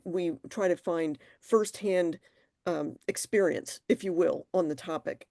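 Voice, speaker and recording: A woman's voice: on the low side, darkish tone, dry recording, clean studio sound. A woman interviewer asking thoughtful questions in a conversational way, sometimes restarting her phrases.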